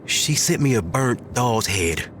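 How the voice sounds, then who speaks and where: An actor playing a vain but anxious action movie star in an audio drama, theatrical and self-regarding. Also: male voice, mid-range voice, lively intonation, takes it slowly, a bit bright, slight background noise. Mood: haunted, anxious.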